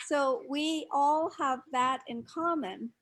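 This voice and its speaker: An older woman from Scotland, a guest reflecting on her life abroad in a measured, storytelling way. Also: sits high, a bit bright. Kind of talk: casual interview chat.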